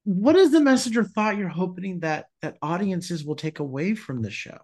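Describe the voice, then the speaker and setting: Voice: mid-range voice, animated, moderate pace, a little mellow. A podcast interviewer with a mid-range voice of ambiguous gender speaks conversationally. The delivery is effusive and emphatic, in the manner of an engaged host.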